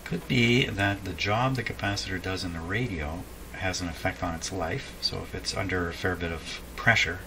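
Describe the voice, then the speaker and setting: A man with a deep voice talks through hands-on bench work as if thinking aloud. He is casual and unhurried, musing with a dry sense of humor. The recording has clear background noise, in an indoor home workshop. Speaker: host of a radio repair video.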